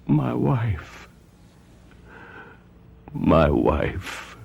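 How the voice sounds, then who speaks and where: Deep, gravelly, theatrical murderous husband. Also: male voice, very animated, very slow, exaggerated voice. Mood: grandiose, murderous. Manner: theatrical, drawling, declamatory. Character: suave, murderous husband, a vain classical stage actor. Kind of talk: radio mystery drama acting. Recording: noisy old radio drama recording.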